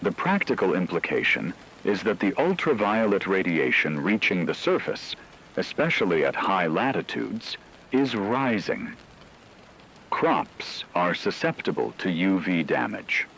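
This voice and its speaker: A low-voiced, grave radio broadcaster acted by a man delivers a formal catastrophe report. The pacing is measured and serious, over a bed of rain-like static. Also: very animated, creaky, a bit bright, full character voice, poor audio. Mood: grave, explanatory. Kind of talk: scripted emergency radio report.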